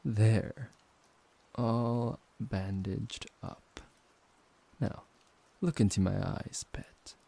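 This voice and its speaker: Man voicing a gravelly, seductive vampire professor. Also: fairly low pitch, heavily acted. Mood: smug, coaxing. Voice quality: gravelly, husky. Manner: slow, hushed, coaxing, condescendingly affectionate. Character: possessive, seductive male vampire professor, dominant and teasing. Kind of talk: intimate ASMR roleplay.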